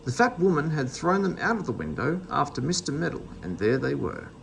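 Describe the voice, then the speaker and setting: A man's voice: fairly high pitch, big pitch swings, brisk, creaky, slight background noise. A man reading a children's story aloud as narrator. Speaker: storybook reader.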